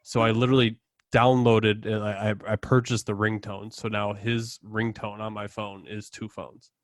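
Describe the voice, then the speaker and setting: A man's voice: fairly low pitch, level tone, moderate pace, dry recording, studio quality. A man co-hosting a podcast, chatting casually. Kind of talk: casual chat.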